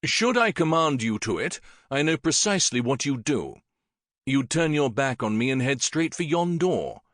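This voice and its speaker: A man voicing a commanding older king who speaks in a measured, regal way. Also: mid-range voice, lively intonation, a bit bright, dry recording, clean studio sound, voice pushed up, close to an everyday voice. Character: commanding older king, warm yet persuasive.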